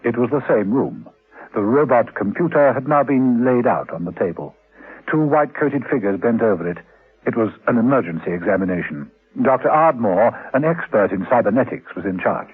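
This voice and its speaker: An actor narrating a spy radio serial in a solemn, dramatic scripted style, with a husky voice. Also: male voice, medium pitch, expressive, a little fast. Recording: narrow, tinny old radio recording.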